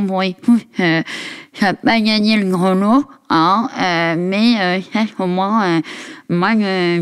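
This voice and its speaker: A woman's voice: mid-range voice, clear, resonant tone. A woman in her thirties telling her story frankly and with humor, hesitating often as she talks. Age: 30s. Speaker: podcast guest. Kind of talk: casual conversation.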